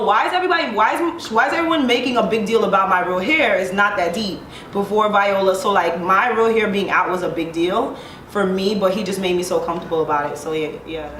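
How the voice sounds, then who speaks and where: A chatty woman in her thirties from Brooklyn tells personal stories, with "like" in almost every line and laughter along the way. Her voice sits at a middle pitch. The sound is roomy and a little distant, with faint background noise. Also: fairly quick, fairly bright tone. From Brooklyn, of Haitian family. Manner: chatty, fills her talk with "like", laughs as she talks. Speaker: panel guest. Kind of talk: casual chat, candid storytelling.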